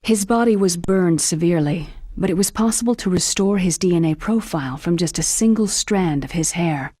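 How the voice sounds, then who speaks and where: Composed, lower-voiced scientist. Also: female voice, normal speed, husky, dry recording, clean studio sound. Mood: calm, clinical. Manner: calm, precise, explanatory. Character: composed, clinical woman scientist explaining genetics. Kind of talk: video game voice acting.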